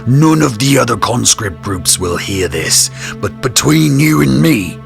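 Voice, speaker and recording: An actor playing a swaggering military lieutenant in an audio drama, a showman who talks with gravelly, deliberate relish. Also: medium pitch, lively intonation, music in the background. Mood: conspiratorial, boastful. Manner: slow, deliberate, conspiratorial showman.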